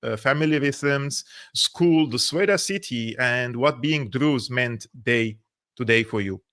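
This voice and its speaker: A man's voice: mid-range voice, fairly bright tone. Armenian-accented Syrian man hosting. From Syria, Aleppo. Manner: thoughtful, halting, frequent restarts and fillers. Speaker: interview host. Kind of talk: conversational interview.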